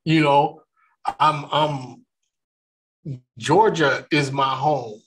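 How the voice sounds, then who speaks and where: A man's voice: fairly high pitch, steady tone, crowd noise, poor audio. A man from California, hosting a faith discussion, talking earnestly with many restarts. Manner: slow, earnest, with frequent restarts. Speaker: ministry discussion host.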